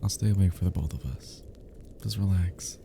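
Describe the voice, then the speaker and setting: Deep, velvety man playing a tender male friend. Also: moderate pace, creaky, a little hiss or hum. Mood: tender, confessional. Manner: soft, murmuring, gently teasing, affectionate. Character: tender, flirty male best friend lulling listener to sleep. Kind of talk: intimate roleplay ASMR.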